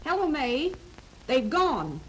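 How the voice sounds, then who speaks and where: Fretful farm wife, high animated voice, unhurried, 1930s western radio drama, noisy echo. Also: female voice, heavily acted. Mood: calling, anxious. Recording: echoey, noisy 1930s film soundtrack.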